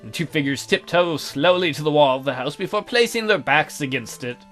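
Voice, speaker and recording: A man's voice: fairly high pitch, a little fast. A man hosting a let's play, reading a visual novel aloud with goofy, joking asides. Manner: jokey, animated, goofy asides. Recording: background music under voice.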